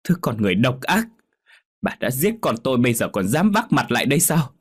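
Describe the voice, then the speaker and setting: A man voicing a grieving older mother from a village, who wails and shouts shrilly. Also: very animated, takes it slowly, slightly rough, heavily acted. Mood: furious. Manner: wailing, loud and shrill.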